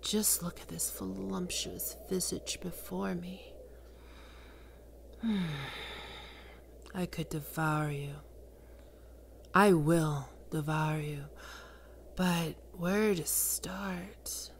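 A woman voicing a seductive, domineering female demon queen of lust in an ASMR roleplay, speaking slowly and sultrily, often in a whisper. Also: on the low side, slight background noise. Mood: commanding.

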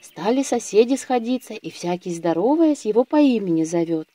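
A woman storyteller narrating a scripted audio fairy tale in a measured, expressive manner. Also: mid-range voice, no echo.

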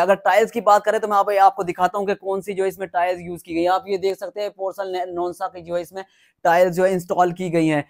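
High-pitched Pakistani man hosting a tour. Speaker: property tour host. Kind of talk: enthusiastic house tour presentation.

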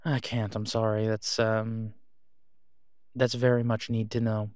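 An awkward, guarded man in an audio drama, played by a male actor, with a lowish voice. He talks slowly and haltingly in a low-key, natural way, recorded close and dry. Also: level tone, darkish tone, clear, resonant tone, no room sound, natural voice. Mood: guarded, reluctant. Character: awkward, guarded man discreetly seeking gear to protect someone. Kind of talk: naturalistic audio roleplay acting.

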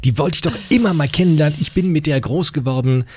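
A middle-aged man with a husky voice hosting a casual radio interview, teasing and probing, close on a studio mic. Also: on the high side, quick pace, warm tone. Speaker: radio host. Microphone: close studio broadcast mic on boom arm.

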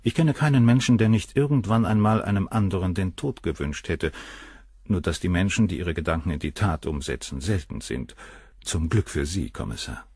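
A man's voice: sits low, normal speed, darkish tone, husky, dry recording, studio quality. An actor in a German crime radio play playing a cold, cynical middle-aged surgeon, detached and coolly superior as he answers questions. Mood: cool, composed.

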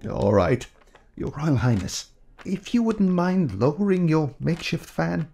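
An actor playing a polite, fussy wedding photographer who hesitates with fillers. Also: male voice, on the high side, very animated, unhurried, vocal fry, warm tone, husky, full character voice. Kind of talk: audio drama acting.

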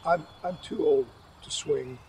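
A man's voice: on the high side, a little mellow, slight background noise, lightly acted. A man playing a frail, sad old man who stammers and halts as he speaks. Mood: weary. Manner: halting, stammering.

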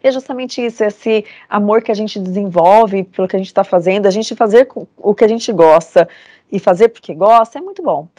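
A woman's voice: medium pitch, fairly quick, a little mellow, no room sound. A woman in her forties from Brazil, giving an earnest, emphatic motivational talk to students over a video call. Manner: earnest, emphatic, repeats phrases for conviction. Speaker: lecturer.

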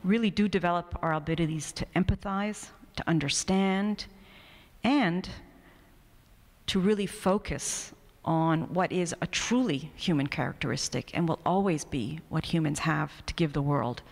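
A middle-aged woman delivers a prepared academic speech. Her voice sits fairly low, and her phrasing is measured and emphatic. It carries through a microphone into a hall with long reverb. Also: gravelly. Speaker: professor, keynote speaker. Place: indoor university hall at a lectern. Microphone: microphone on a stand, close in front.

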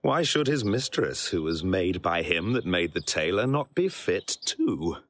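Pompous, low-voiced young prince. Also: male voice, very animated, a bit bright, no room sound, exaggerated voice. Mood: smug, gloating. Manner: pompous, halting, chuckling to himself. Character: vain, boastful, vengeful young prince in disguise. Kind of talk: theatrical Shakespearean soliloquy.

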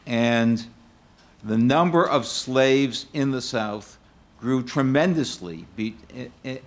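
Older male panelist, medium pitch, unhurried and halting, explains methodically. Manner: hesitant, explanatory, point by point. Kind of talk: extemporaneous panel discussion. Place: auditorium panel table.

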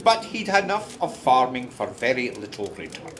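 Actor as wry Scottish storyteller, animated. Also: male voice, medium pitch, moderate pace, crowd noise, natural voice. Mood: wry, confiding. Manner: confiding, colloquial. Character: chatty, wry Scottish storyteller man, fond of whisky. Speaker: narrator. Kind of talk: conversational radio drama narration.

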